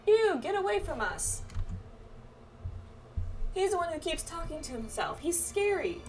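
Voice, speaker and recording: A woman's voice: very high-pitched, very animated, measured pace, a little hiss or hum, voice pushed up, heavily acted. A woman voicing mean, taunting children from a game, in a jeering, exaggerated manner. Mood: scornful, disgusted. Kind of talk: game character dialogue read aloud. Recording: game music behind the voice.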